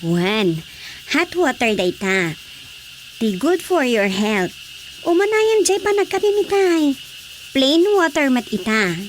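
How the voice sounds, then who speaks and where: High voiced ilocano wife, radio drama comedy, gossipy and super expressive, faint noise behind. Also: female voice, slow pace, heavily acted. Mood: caring. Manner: animated, exclaiming.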